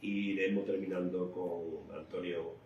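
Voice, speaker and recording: A man's voice: deep voice, little pitch movement, unhurried, a little mellow, highs cut off. A man hosting an unscripted local morning radio programme, hesitant and repeating himself. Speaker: radio host. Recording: roomy, distant mic with faint noise.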